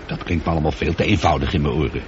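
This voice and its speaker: An actor the playing a lonely, prickly space traveller in a radio play, speaking emphatically and with some exasperation. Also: male voice, very deep voice, fairly even intonation, racing. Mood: resigned. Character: lonely, prickly male space traveller arguing with his computer. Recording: dull noisy old radio play recording.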